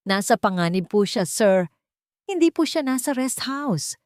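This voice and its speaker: Frightened maid in an audio drama, played by a woman narrator: trembling, pleading, pitch leaping upward. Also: sing-song, a little slow, a little mellow, no room sound, clean studio sound. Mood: urgent pleading. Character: frightened, pleading woman servant, loyal and desperate.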